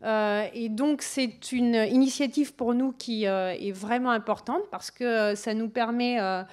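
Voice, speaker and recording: A woman's voice: fairly high pitch, fairly even intonation, a little slow, darkish tone. A woman giving a conference presentation, hesitant, with many fillers and restarts.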